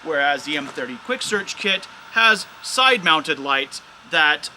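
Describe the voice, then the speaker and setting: A man's voice: fairly high pitch, animated, a little slow, pushed and bright, slight background noise, low-quality recording. A man hosting a casual, hands-on product test of drone gear as a reviewer.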